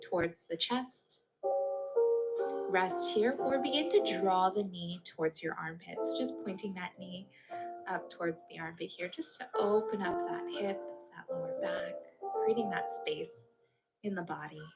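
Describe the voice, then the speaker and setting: A woman's voice: lowish voice, a little slow, gravelly, rough recording. A woman guiding a yoga routine as an instructor, giving step-by-step movement cues. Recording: loud music under the voice. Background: instrumental music.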